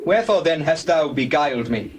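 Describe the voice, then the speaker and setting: A man's voice: on the high side, animated, fairly quick, natural voice. A man playing a devoted, hardworking young husband in a dramatized scripture reading, earnest and formal. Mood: indignant. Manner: earnest, formal, archaic diction. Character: Jacob, devoted, hardworking young suitor and husband, easily aggrieved. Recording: clear background noise, birdsong and doves.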